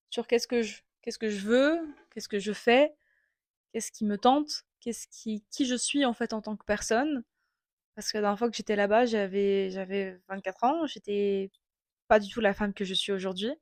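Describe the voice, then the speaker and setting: A woman in her twenties with an accent from France, talking candidly into a small clip-on mic and often pausing to hesitate. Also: mid-range voice, no echo, studio quality. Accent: French from France. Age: 20s. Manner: reflective, candid, many hesitations. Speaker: vlogger. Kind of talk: casual personal monologue.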